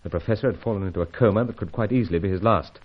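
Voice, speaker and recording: An actor with a British accent playing a suave, steady secret agent in a radio adventure serial, polite, clipped and dryly understated. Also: male voice, on the low side, very fast, husky. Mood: grave narrating. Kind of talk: old-time radio adventure drama acting. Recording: dull, muffled vintage radio recording.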